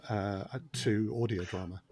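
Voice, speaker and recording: A man's voice: lowish voice, steady tone, unhurried, a little mellow, husky, dry recording. A man talking as a sound designer and podcast guest, thoughtful and wry, with frequent hesitations, in a casual discussion.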